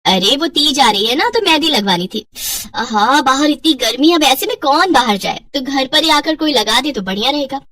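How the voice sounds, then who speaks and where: A woman's voice: sits high, lively intonation, normal speed, pushed and bright, far from the mic. A woman voicing a bossy, fussy, well-off housewife in a story, blunt and informal.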